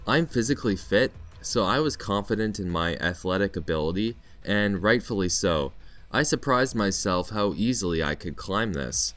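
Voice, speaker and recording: A man's voice: on the low side, animated, normal speed, fairly bright tone, soft background music. A Canadian man narrating a scripted vlog in a playful storytelling way with mock-dramatic flourishes. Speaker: vlog narrator, teacher in Japan.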